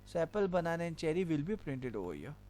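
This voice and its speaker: A man with a high voice teaches a coding lesson. He walks through each example step by step and keeps repeating himself with "okay". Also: very animated, fast talker, darkish tone. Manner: explains step by step, repeats points with filler "okay". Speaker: programming teacher. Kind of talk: screen-recorded coding lesson.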